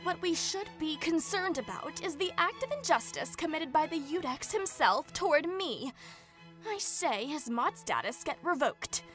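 A woman's voice: high voice, expressive, measured pace, fairly bright tone, soft background music. A woman playing a theatrical, haughty young noblewoman, grandiose and dramatic, in a comedic roleplay skit. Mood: indignant, scheming.

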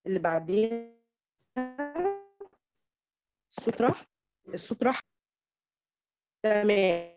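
A woman with an Egyptian Arabic accent giving an academic slide lecture over an online call, halting and repeating words as she goes. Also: medium pitch, over music. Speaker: academic presenter. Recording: dull lo-fi online call audio.

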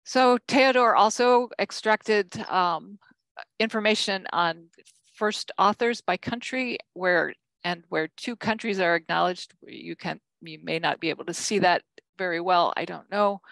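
An older woman giving a conference keynote from slides, measured, with frequent ums and self-corrections. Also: mid-range voice, vocal fry, a bit bright, no echo, low-quality recording. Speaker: keynote speaker.

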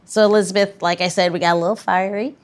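A woman's voice: mid-range voice, fairly even intonation, fast. A woman hosting a podcast, speaking in a casual, conversational interview style. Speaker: podcast host.

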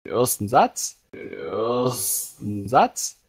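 A man's voice: on the low side, big pitch swings, very slow, breathy edge. A man hosting a live stream in casual, jokey chat.

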